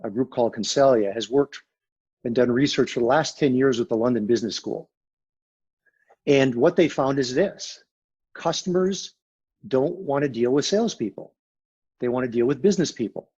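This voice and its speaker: A man speaking with a husky, raspy voice in a measured, emphatic, pragmatic way. He talks as a guest consultant in a business interview. The recording sounds dull and lo-fi. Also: mid-range voice, a little mellow.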